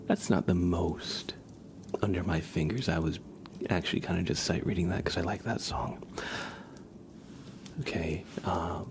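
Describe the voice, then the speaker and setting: A man hosting a relaxed livestream in a soft, hushed, easygoing voice. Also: low-pitched, creaky. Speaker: livestream host and ASMR streamer. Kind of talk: soft casual livestream chat between songs.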